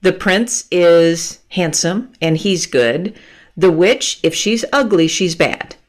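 Woman in her 50s talking slow on a call, earbud mic, patient explainer. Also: fairly low pitch, expressive. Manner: thoughtful, laughs often, explains patiently. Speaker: guest author. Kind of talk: conversational interview. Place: room with bookshelves. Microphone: earphone mic on a video call.

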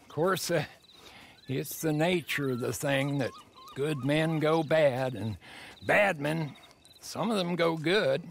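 An actor playing a rambling, philosophical old trapper with a gravelly, folksy drawl. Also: male voice, on the high side, takes it slowly, a little hiss or hum. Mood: musing. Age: older.

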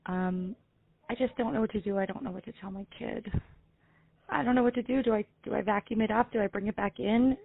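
A middle-aged woman playing an anxious, pious mother on a comedy prank call, speaking haltingly and pleadingly. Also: medium pitch, fairly even intonation, creaky, slightly rough, no echo, phone-quality sound. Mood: flustered, worried. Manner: hesitant, halting, pleading, earnest. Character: flustered, pious, anxious mother seeking advice. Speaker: prank caller on a comedy show. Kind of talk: improvised prank phone call.

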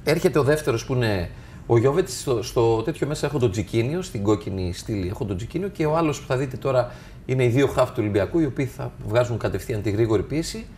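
A man's voice: mid-range voice, lively intonation, darkish tone, slight background noise. A man talking as a football analyst, walking through match clips step by step and addressing viewers. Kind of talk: tactical match analysis over video.

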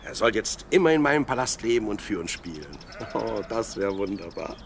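Young shepherd boy, dreamy and plucky, very animated radio play delivery, sheep and birdsong behind. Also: on the low side, fairly quick. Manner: expressive, musing aloud to himself and animals. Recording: clear outdoor animal noise behind. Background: sheep, birds, outdoor ambience.

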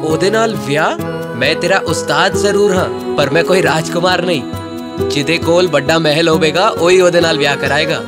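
Poor, good-hearted young cartoon hero played by a man, earnest and wide-eyed, fairly high voice with music underneath. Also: normal speed, close to an everyday voice. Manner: earnest, easily amazed. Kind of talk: children's fairy tale cartoon dub.

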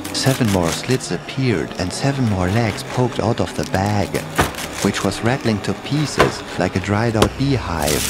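A man's voice: lowish voice, background noise. A man reading a scripted first-person horror story as its narrator. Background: music behind the voice.

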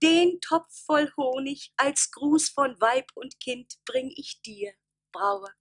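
A woman's voice: sits high, slow pace, a bit bright. A woman playing an assertive village mayoress in a German radio play, declamatory and demanding. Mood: stern, demanding. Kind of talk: German stage-style radio play acting.

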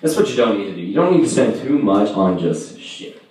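A young man doing a casual amateur stand-up routine in a halting, rambling, self-deprecating and profane way. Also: fairly low pitch, big pitch swings, darkish tone. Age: young adult. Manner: profane, vulgar. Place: indoors, small home room. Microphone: distant camera, handheld microphone in view. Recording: echoey room, far from camera.

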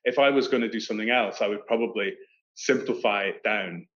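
A Scottish man in his thirties, an architect talking casually in an interview over a video call, animated and full of restarts. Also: fairly low pitch, a little fast. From Scotland. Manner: animated, gestures with hands, many restarts. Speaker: architect guest. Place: indoor room on video call. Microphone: video call webcam. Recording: dull lo-fi call audio.

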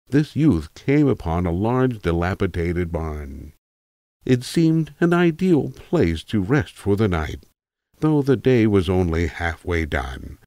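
A man narrating a literary tale in a measured, wry, precise manner, as a voice actor reading from a script. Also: low voice, a little mellow, no room sound, studio quality.

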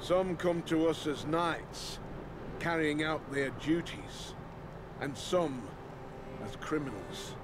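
A stern older commander speaks in grave, oratorical style in a fantasy game. His delivery is slow and deliberate, with clear ambient noise behind him. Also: a little high. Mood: solemn, stern. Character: stern old lord commander addressing his sworn brothers. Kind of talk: solemn scripted speech in a fantasy game.

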